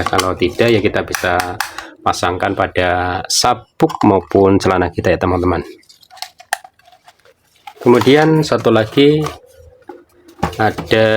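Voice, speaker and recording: A man's voice: low-pitched, a little hiss or hum. A man giving a casual product demonstration, explaining things informally and often repeating himself. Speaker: product reviewer.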